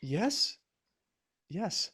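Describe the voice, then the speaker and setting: A man playing a gentle, awkward, earnest young man in a naturalistic audio drama, speaking hesitantly and fumbling for words. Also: high voice, sing-song, very slow, breathy edge, exaggerated voice. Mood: nervous, tender.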